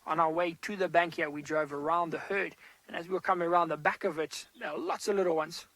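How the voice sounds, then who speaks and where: A man, a safari guide giving loud, animated live commentary from an open vehicle outdoors. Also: on the high side, fast, dry recording.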